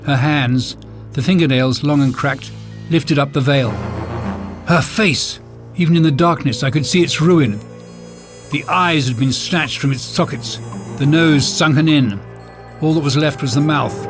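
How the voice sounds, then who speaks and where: A man's voice: a little high, a little slow. A man narrating a mystery audiobook in a dramatic, suspenseful first-person style. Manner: suspenseful, theatrical. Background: music score.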